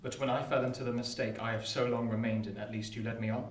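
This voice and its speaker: A man playing an earnest, lovelorn young gentleman in a radio play, speaking formally and with pleading passion. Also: medium pitch, steady tone, fast talker, close to an everyday voice. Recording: echoey, distant, noisy room.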